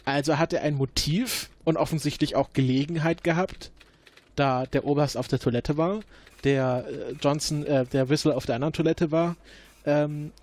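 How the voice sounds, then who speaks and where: Man, fairly high voice, hesitant, searching for words, improvised detective game player. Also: steady tone, normal speed, a little mellow. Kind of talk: improvised detective role-play.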